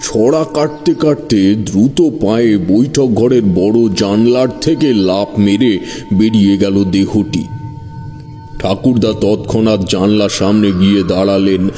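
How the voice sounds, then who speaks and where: Male narrator for audio horror, low, dark and unhurried, music underneath. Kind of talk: dramatic scripted horror story narration.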